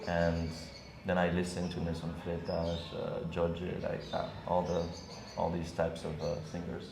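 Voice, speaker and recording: A young man from Senegal, a guest DJ, talking casually in an interview with many ums and pauses. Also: sits low, vocal fry. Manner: relaxed, hesitant, many ums and uhs. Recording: echoey room with background noise.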